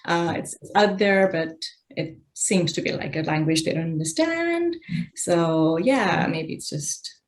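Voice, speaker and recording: A woman whose first language is Urdu explains her own artwork on a video call, speaking thoughtfully and haltingly with many fillers and restarts. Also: lowish voice, animated. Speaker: exhibiting artist.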